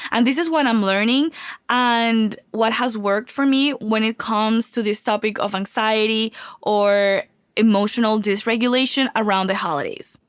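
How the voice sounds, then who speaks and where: Woman host, recovering from a cold; warm confiding podcast delivery, dry close mic. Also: a little high, no echo. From Venezuela. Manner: upbeat, heartfelt, confiding. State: getting over a cold. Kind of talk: solo podcast talk.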